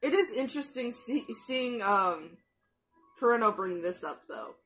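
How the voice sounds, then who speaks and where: A woman's voice: fairly high pitch, expressive, fairly bright tone, soft background music, rough recording. A young woman in a small bedroom chatting casually about an episode she is watching, full of fillers and restarts. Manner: chatty, many fillers and restarts, quick asides. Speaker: anime reaction youtuber. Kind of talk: casual rambling commentary. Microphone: gaming headset mic.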